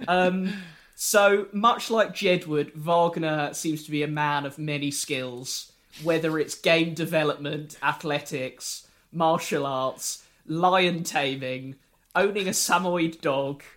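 Male podcast host, slightly high-pitched, wry and jokey, unhurried. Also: a bit bright. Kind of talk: casual podcast chat.

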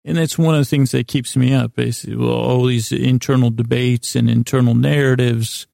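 A man hosting a bedtime podcast in a soothing, meandering, halting monologue with gently silly asides. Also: mid-range voice, fairly even intonation, vocal fry, dry recording, studio quality. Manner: soothing, lulling, mildly silly. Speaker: podcast host.

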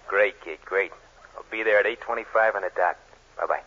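Shady male accomplice, lowish forceful voice, gleeful, muffled vintage radio recording. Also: normal speed. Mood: gleeful, conspiratorial. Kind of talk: 1940s radio comedy acting. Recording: muffled, faintly noisy 1940s radio recording.

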